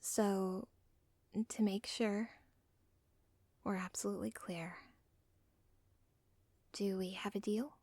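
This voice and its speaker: A woman playing a villain's lovestruck, self-aware daughter in an ASMR roleplay, whispering softly with a halting, deliberate, intimate delivery. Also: medium pitch, fairly even intonation, no room sound. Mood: flustered. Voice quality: soft, breathy, whispery.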